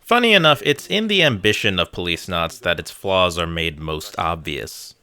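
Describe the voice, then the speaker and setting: A man's voice: medium pitch, pushed and bright. Guy doing video game essay narration, sarcastic and chatty, voice swings up and down a lot. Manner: sarcastic, conversational. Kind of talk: scripted video game commentary.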